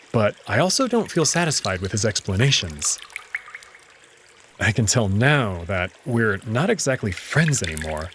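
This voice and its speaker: Expressive male story narrator, some noise. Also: on the low side. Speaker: narrator reading a visual novel aloud. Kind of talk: first-person dramatic story narration.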